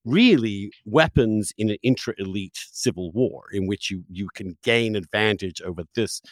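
A man's voice: lowish voice, normal speed, no echo. A man hosting an intellectual interview, arguing his points emphatically in conversation. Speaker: interview host.

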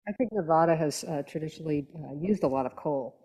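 A woman's voice: low-pitched, fast talker, dark tone, breathy edge, rough recording. A woman speaking as a podcast panelist in conversational discussion, with thoughtful, hesitant phrasing.

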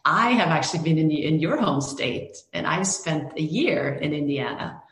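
Low-voiced Norwegian woman, echoey room. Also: animated, moderate pace, fairly bright tone. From Norway. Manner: thoughtful, with many restarts and fillers. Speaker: film director. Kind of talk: interview answers.

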